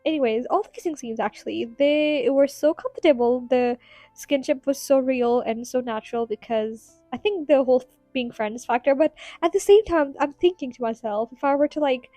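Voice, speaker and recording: A woman's voice: sits high, normal speed, warm tone, dry recording, faint music behind. Chatty woman with a tired voice. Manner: chatty, giggly, rambling with fillers. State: tired, strained voice. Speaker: podcast host. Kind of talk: casual solo podcast ramble.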